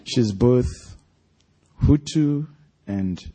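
Slow, mellow Nigerian-accented man. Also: medium pitch, expressive, breathy edge, low-quality recording. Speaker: author reading from his own story at an event. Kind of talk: live author talk and literary reading to an audience. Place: live event at a library.

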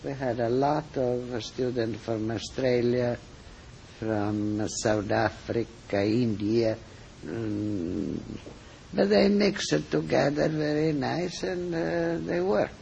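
An older man with a strong Italian accent speaks very slowly, stopping often on fillers and restarting his sentences. His pitch sits in an ordinary middle range. The recording is a little dull and muffled. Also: gravelly, darkish tone, slight background noise. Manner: halting, many fillers and restarts. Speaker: cooking school teacher, guest. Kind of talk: interview answers, storytelling.

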